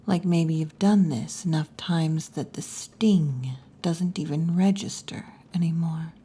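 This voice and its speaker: Hushed, low-voiced wolf girl bartender, acted by a woman. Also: heavily acted. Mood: soft, knowing. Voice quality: breathy, mostly whispered or half-whispered. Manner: slow, hushed, teasing, with long pauses. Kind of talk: ASMR roleplay, intimate scripted monologue. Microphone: close ASMR microphone.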